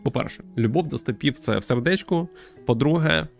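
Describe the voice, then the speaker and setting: A man in his thirties streams a story game with a gravelly, creaky voice. He comments in a thoughtful, halting way at an ordinary male pitch. Soft game music plays behind him. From Ukraine. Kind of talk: livestream commentary and reading aloud of a visual novel. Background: background game music.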